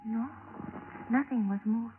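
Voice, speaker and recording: An actress playing an anxious young merchant's daughter who gives short, worried answers, in a 1940s radio drama on a tinny, noisy old recording. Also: female voice, fairly high pitch, steady tone, unhurried, close to an everyday voice.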